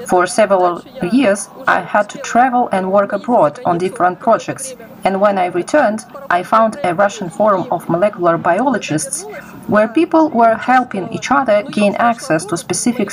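A woman's voice: mid-range voice, poor audio. A young adult woman from Kazakhstan giving informal, explanatory answers as an interviewee. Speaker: interviewee, project creator.

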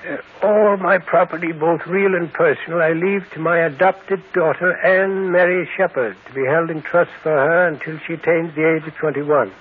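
An actor playing a formal, businesslike lawyer, reading aloud in measured, slightly hesitant fashion in an old radio drama. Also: male voice, a little high, fairly even intonation, breathy edge, phone-quality sound. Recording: thin old radio broadcast, some noise.

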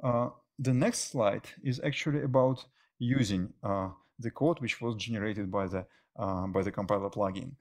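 A middle-aged man presenting technical material as a conference speaker, often pausing mid-phrase. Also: lowish voice. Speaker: conference speaker, software engineer. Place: indoor stage at a tech meetup.

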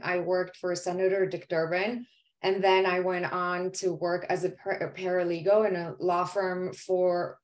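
A woman born in Poland and raised in Greece, giving an earnest artist presentation on a video call, with the odd "um" as she explains. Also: fairly low pitch. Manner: earnest, explanatory, some hesitant fillers.

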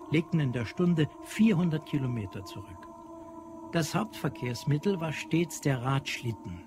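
Mann als Erzähler, deutlich Musik dahinter. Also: male voice, mid-range voice. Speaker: audio drama narrator. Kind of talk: scripted literary narration. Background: prominent music.

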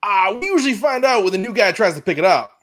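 A jokey coworker, deadpan teasing. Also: male voice, sits high, fast. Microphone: video call.

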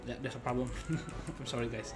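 Young guy streaming a game, rambly n repeats himself, normal pitch, game music going. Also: level tone, fast. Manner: rambling, repeats words and phrases. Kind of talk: casual gameplay commentary. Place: indoor room, game music behind.

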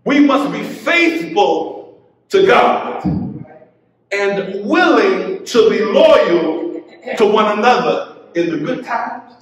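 A middle-aged man preaching a sermon from a church pulpit, building into shouted, half-sung exhortation. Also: high voice, expressive, slow, pauses often. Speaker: pastor. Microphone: pulpit mic, filmed by a far camera. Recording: very echoey church from a distance.

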